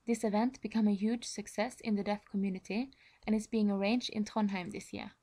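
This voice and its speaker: A woman's voice: medium pitch, steady tone, a little fast, dark tone. Norwegian-accented woman giving a presentation. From Norway. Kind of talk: prepared informational presentation.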